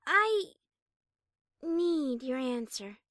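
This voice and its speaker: Storybook pony mare played by a woman: refined, anxious lover, hesitant, high voice, slow delivery, dry close recording. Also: very animated, fairly bright tone, no room sound, exaggerated voice. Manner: hesitant, earnest, halting. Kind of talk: audiobook character acting.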